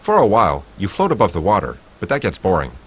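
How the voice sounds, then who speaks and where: A low-voiced man reads a choice-based text game aloud, playful and animated, with his pitch rising and falling a lot. A faint hum of room sound sits under his voice. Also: fast. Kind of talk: reading game text with asides.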